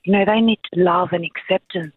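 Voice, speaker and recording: A woman calling in to a radio show, her soft voice breathy and husky, half whispered. She speaks slowly and earnestly at a fairly low pitch. The sound comes over a thin, tinny phone line. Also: fairly even intonation, dry recording. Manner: slow, earnest. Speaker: caller.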